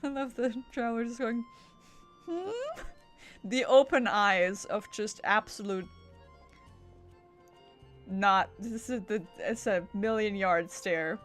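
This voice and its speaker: A woman's voice: mid-range voice, slow, long pauses. Woman, game streamer, casual live commentary, playful, rambling, mid-range voice, background game music.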